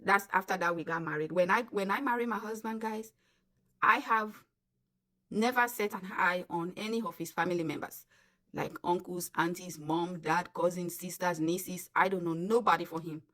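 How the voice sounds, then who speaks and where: A woman's voice: on the low side, normal speed, a bit bright. Chatty Sierra Leonean woman vlogger. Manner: chatty, emphatic, addresses viewers as guys. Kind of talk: casual storytelling to camera.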